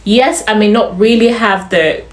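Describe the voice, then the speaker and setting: A young woman with a Ugandan accent, lightly polished, talks casually to her viewers. She laughs easily and drifts into lively asides. Her mid-pitched voice sounds roomy, with noticeable echo around it. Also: unhurried. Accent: Ugandan, slightly polished. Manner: chatty, laughs often, animated asides. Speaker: vlogger. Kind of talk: casual chat to camera.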